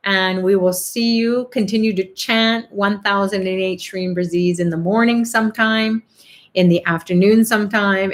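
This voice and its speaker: A middle-aged woman hosting an online chanting and prayer call, chatting casually, reading out comments and laughing often. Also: fairly low pitch. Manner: upbeat, warm-hearted, laughs often, many fillers. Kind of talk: casual spiritual group chat, reading comments.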